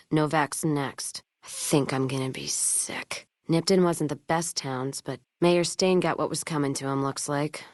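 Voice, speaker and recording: A woman's voice: low voice, no room sound, studio quality. A woman playing a tough, cynical, hard-drinking caravanner in a video game, making blunt, wry, foul-mouthed remarks in a folksy way. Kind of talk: video game companion voice lines.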